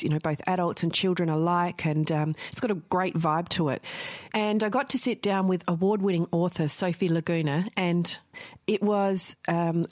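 A woman's voice: lowish voice, dry recording, studio quality. An Australian woman, a teacher and podcaster, speaking in an earnest, articulate way.

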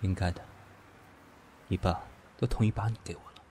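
Cool, shrewd businessman for radio drama, male actor: low, calm, dry and teasing, light music behind. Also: moderate pace, close to an everyday voice. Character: composed, shrewd, gently teasing mature businessman. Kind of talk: Chinese audio drama acting.

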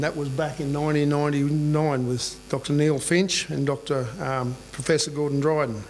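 A middle-aged Australian man speaks from a lectern in a hotel conference room, his voice sitting a little high for a man. He talks plainly and conversationally, often checking in with the room. A faint mains hum runs under the recording. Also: poor audio. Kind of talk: presentation talk. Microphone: boom mic on a lectern stand.

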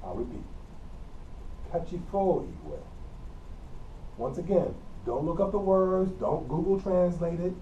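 A mellow-voiced middle-aged man with a Midwestern accent lectures on communication, repeating himself patiently in a plain teacherly way. His voice is caught by a camera mic across an empty classroom, so it sounds distant and roomy. A faint air-conditioner hum sits underneath. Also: a little high, animated, slow pace, pauses often, dull audio. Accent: Midwestern American. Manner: plain, repetitive teacherly explaining with frequent coughs. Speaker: college communication instructor. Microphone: camera mic from across the room.

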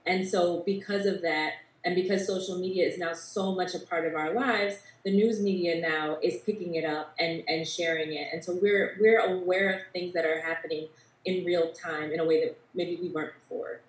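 A woman in her thirties gives thoughtful panel comments in a fairly low voice. The video-call sound is roomy, a little away from the mic. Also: steady tone, moderate pace, recorded from a distance. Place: grey-walled room at home.

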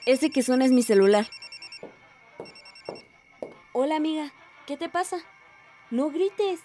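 A woman's voice: high-pitched, expressive, takes it slowly, mellow, soft background music. A woman playing a cheerful, studious teenage village girl in a radio drama, talking in a casual, youthful way.

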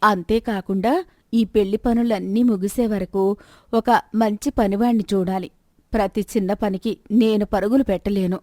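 Woman doing a nagging practical wife in a story, persuasive, mid pitch, dry recording. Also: steady tone, normal speed, dark tone, no room sound, natural voice. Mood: assured, persuasive. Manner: chiding, questioning, persuasive. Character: practical, persistent, nagging middle-aged wife of rich merchant. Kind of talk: moral story dialogue.

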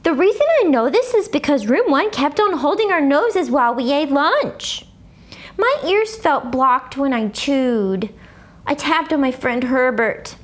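A woman in her thirties reading a children's book aloud as an expressive, dramatic storyteller. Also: sits high, measured pace. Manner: animated, dramatic. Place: furnished living room, indoors. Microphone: lapel mic.

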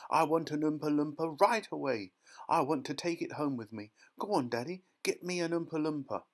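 Man as spoiled little girl, storybook character, high-pitched, loud, whiny, insistent, demanding. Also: moderate pace. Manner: insistent, whining, shouting demands.

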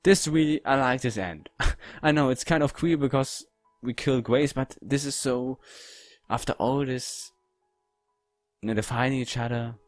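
A man giving casual game commentary in halting, non-native English phrasing. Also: medium pitch, dry recording, faint music behind. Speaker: gaming video host.

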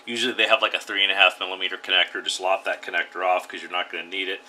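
Host, male, deep bright voice, plain step-by-step tutorial delivery, slight hiss behind. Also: level tone, very fast. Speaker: radio hobby channel host. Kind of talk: DIY tutorial voice-over.

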